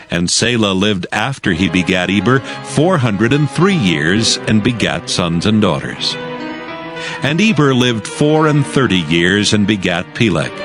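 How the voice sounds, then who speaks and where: A man narrating scripture from a script in a measured, formal manner. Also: lowish voice. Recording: music behind the voice.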